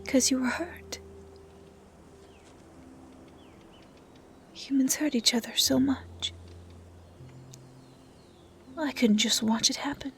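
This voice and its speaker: Woman voicing a whispery, gentle forest dryad. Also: high voice. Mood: soft, earnest. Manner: soft, hushed, whispery, halting and caring. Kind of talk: intimate roleplay audio drama.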